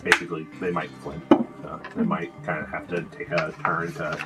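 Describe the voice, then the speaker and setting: A man's voice: a bass voice, level tone, normal speed. A man acting as game master, casually refereeing a tabletop role-playing session. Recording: music behind the talk. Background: music.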